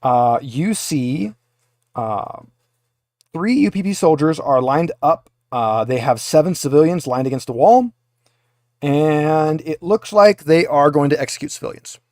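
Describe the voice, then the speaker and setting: A man narrating a tabletop roleplaying game as game master, in a casual, halting conversational way. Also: a little high, lively intonation, darkish tone, dry recording, clean studio sound.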